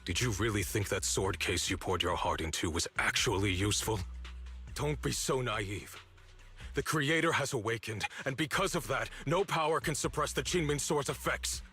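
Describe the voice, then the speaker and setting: A man's voice: mid-range voice, normal speed, sharp tone, breathy edge. An actor playing a bitter, resolute young man, an orphaned former disciple, in a dramatic video game with sardonic, accusing delivery. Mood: scornful. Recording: studio voice over background music. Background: music.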